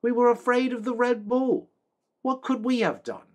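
A spirited middle-aged village woman with a high, strained voice, as a male reader puts her on. She speaks up boldly in a storybook scene. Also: lively intonation, moderate pace. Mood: pleading.

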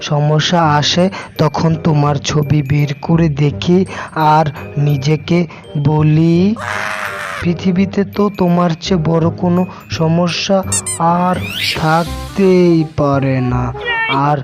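A man's voice: a little high, fairly even intonation, slow pace, long pauses. A man voicing a foolish, cheeky husband in a comic cartoon, with exaggerated wisecracking delivery. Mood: teasing. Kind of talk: comic cartoon joke dialogue. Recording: dull lo-fi audio under loud music.

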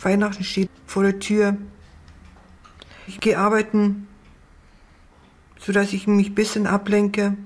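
Woman in an interview, flat intonation. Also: medium pitch, measured pace, pauses often, faint music behind. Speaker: mother appealing in TV interview.

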